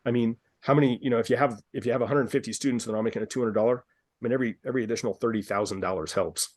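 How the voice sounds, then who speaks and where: A man's voice: mid-range voice, steady tone, very fast, a little mellow, no room sound, studio quality. A man hosting a live group coaching call, upbeat and persuasive, who repeats himself and calls on callers by name. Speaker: dance studio business coach, call host. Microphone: video call.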